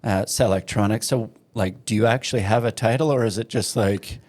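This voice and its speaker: A man's voice: lowish voice, a little fast, a little mellow. A man hosting a podcast, asking upbeat questions and cracking jokes with his guest. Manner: friendly, joking, upbeat questions. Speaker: podcast host. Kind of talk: casual podcast interview.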